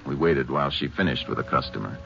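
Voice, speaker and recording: A muffled vintage radio recording of a Texas Ranger investigator with a deep voice. He is calm and terse, narrating and questioning in a matter-of-fact, old-time radio drama manner. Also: fast, vocal fry, music in the background. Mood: steady, businesslike.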